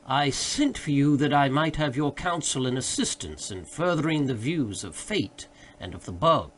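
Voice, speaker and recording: A man voicing an excited, grandiloquent gentleman obsessed with a quest in a literary audiobook, insistent in manner. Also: mid-range voice, normal speed, lightly acted. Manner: pompous, insistent. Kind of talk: literary audiobook character dialogue.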